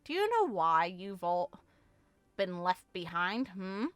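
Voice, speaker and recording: A woman's voice: on the low side, sing-song, takes it slowly, fairly bright tone, clear, resonant tone, no room sound, heavily acted. A woman voicing a mischievous, showy young female streamer from a game story, theatrical and teasing. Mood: mocking. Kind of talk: game lore character read-aloud.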